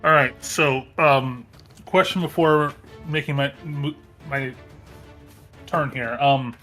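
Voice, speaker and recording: A man chatting casually as a player in a tabletop game, phrasing things haltingly. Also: on the high side, fairly even intonation, pushed and bright, faint music behind. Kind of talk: casual chat during a game.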